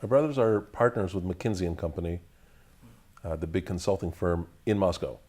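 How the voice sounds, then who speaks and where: A man whose first language is Russian, answering interview questions in a measured, deliberate way, pausing to find his words. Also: low voice, mellow. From Russian family, raised in the United States. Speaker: interview guest. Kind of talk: thoughtful conversational answers.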